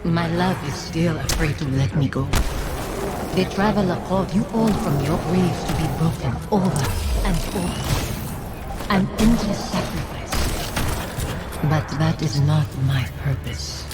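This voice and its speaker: A woman's voice: low voice, measured pace. A woman playing a dead wife's ghost in a video game, wounded and proud, speaking with mournful deliberation. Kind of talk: dramatic video game acting. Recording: cinematic music behind. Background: music.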